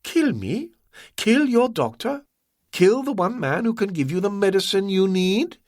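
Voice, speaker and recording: A suave crime boss with a silky, quietly threatening voice, as a male narrator puts him on. The voice sits high above the reader's own and swings lively. It is courteous on the surface and cold underneath, recorded dry and close. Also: measured pace, dry recording. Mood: coldly menacing. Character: suave, soft-spoken, menacing older male crime boss.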